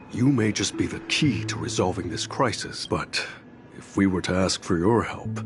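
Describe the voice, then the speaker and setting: A solemn male leader in a video game, with a very low voice. He is weary and formal, weighing bad news in measured phrases over clear background music.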